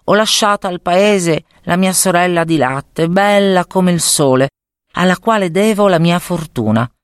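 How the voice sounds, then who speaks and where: A woman voicing a young male fairy-tale hero, earnest and bright. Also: on the low side, unhurried, smooth, no echo, clean studio sound, voice pushed up, close to an everyday voice.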